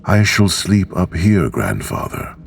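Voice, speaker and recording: Man putting on a cheerful little girl, eager, lifted pitch over a deep creaky base. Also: slow, faint music behind, full character voice. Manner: bright, calling out excitedly.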